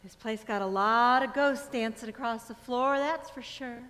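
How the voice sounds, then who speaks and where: A woman's voice: a little high, moderate pace, fairly bright tone, close to an everyday voice. Actress as a world-weary, loyal jazz club woman. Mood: wistful, weary. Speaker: actor in staged reading. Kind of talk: stage drama reading. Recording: echoey theater hall.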